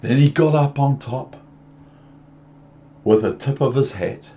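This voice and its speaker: Man, New Zealand accent, unhurried children's storybook reader, rambling asides, questions to listener, muffled hissy recording. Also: medium pitch, warm tone. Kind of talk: reading aloud to young children with chatty asides.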